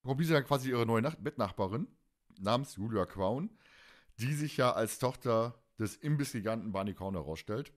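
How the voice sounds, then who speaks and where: A man's voice: medium pitch, expressive, moderate pace. Man chatting casually as a podcast co-host. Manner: rambling, repeats phrases, colloquial. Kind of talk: casual podcast chat, recapping an audio drama.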